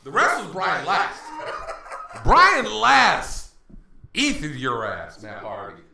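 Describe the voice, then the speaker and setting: A man's voice: fairly high pitch, huge pitch swings, slow, gravelly, bright, forceful tone, soft background music. A man co-hosting a podcast, teasing and hyping in casual banter. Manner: playful teasing, hype delivery. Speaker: podcast co-host. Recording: very echoey room.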